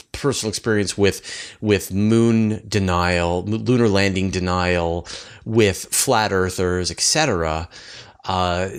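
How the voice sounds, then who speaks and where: Male host for a casual science interview, slightly low voice, repeats phrases for emphasis.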